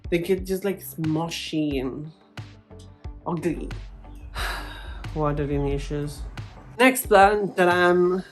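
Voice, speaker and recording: A woman chats casually to camera as a vlog host, her voice on the lower side. She is playfully dramatic and given to exclamations. Light music plays behind her. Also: slow, pauses often. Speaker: plant vlogger, host.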